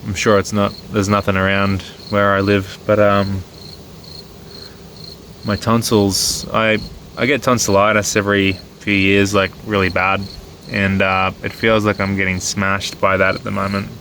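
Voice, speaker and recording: An Australian man with a cold, sounding nasal and hoarse, chatting easygoing and rambling with ums as he films his camping trip outdoors at night. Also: lowish voice, little pitch movement, measured pace, pushed and bright. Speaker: camping vlogger. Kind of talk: casual vlog narration. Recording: outdoor night noise with crickets. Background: crickets and insects.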